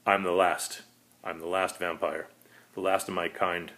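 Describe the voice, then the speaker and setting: A man's voice: low voice, level tone, measured pace. A man voicing a lonely, despairing last vampire, a polite predator, in a dramatic story reading. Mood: weary, bitter. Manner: pleading, rising into manic shouting.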